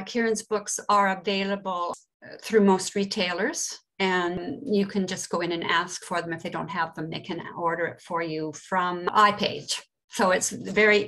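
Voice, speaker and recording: A woman from Canada hosts an online book launch and reads out the author's bio. Her delivery is plain and friendly at a middle pitch, and she trips over an unfamiliar name. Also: fairly bright tone, rough recording. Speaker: event host. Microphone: video call.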